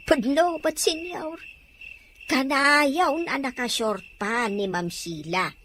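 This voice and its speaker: A woman's voice: high-pitched, slow, fairly bright tone, a little hiss or hum. A woman playing a cheeky, gossipy household maid in a radio drama, with a teasing manner and a Filipino accent.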